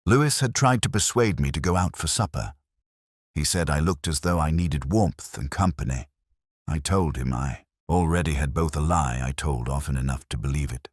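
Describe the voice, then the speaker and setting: A man playing a weary, melancholy older police detective, narrating his mystery case in measured, brooding, literary first person. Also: a bass voice, no echo, clean studio sound. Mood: wistful, resigned.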